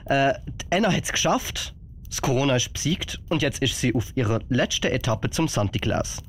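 A man's voice: medium pitch, expressive, normal speed, slightly rough. A man with a Swiss German accent playing a kindly, flustered old Santa Claus in an amateur radio play.

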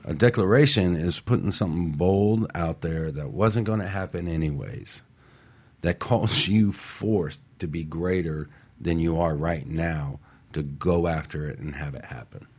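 A man's voice: sits low, unhurried, darkish tone. A man co-hosting a motivational radio talk show, stressing and repeating his key points emphatically.

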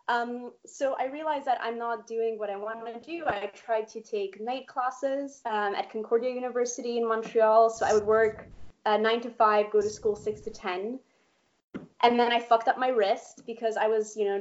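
A woman in her thirties, born in Poland, telling her career story in an interview in a chatty, lively way with casual swearing and frequent laughs. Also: mid-range voice, highs cut off. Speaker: guest animator.

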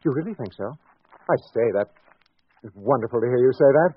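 A married doctor with secret designs in a vintage radio drama. His phrasing is courteous and halting, with a very mobile pitch and a slightly husky tone. The sound is narrow and aged, like an old broadcast. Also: expressive. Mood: eager, flustered. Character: restless married doctor, outwardly polite, secretly scheming and lovestruck. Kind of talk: 1940s radio drama acting. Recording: muffled 1940s radio recording with music.

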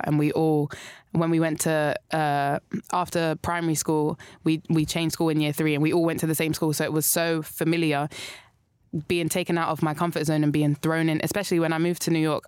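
Young south london woman, london accent, smooth clear voice, pretty flat, keeps restarting. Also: low voice, a little fast, no echo. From South London, England. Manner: hesitant, many restarts and fillers, laughs easily. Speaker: guest singer. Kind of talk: casual interview chat.

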